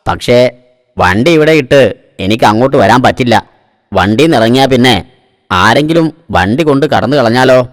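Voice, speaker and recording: A man's voice: mid-range voice, animated, fairly quick, pauses often, lightly acted. A man voicing a self-assured but naive car owner, a comic simpleton in a cartoon. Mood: cocky, trusting.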